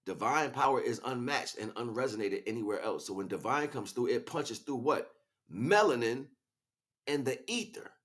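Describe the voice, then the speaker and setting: A man's voice: medium pitch, lively intonation, moderate pace, fairly bright tone. A man improvising a spiritual lecture as a teacher, speaking emphatically, repeating key words and checking in with rhetorical questions. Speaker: spiritual teacher and livestream host.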